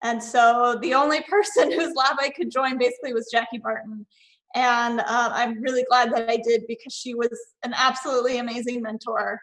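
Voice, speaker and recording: Bright-voiced woman on a video call. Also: a little high, fairly even intonation, moderate pace, rough recording. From Northern California. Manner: chatty with many fillers and restarts. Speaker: panelist and award finalist. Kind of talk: casual panel remarks.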